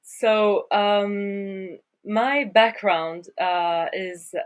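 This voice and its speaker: Slow, thoughtful woman. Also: mid-range voice, steady tone. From Sweden. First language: Swedish. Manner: thoughtful, hesitant, frequent fillers. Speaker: guest, water surveyor. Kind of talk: podcast interview.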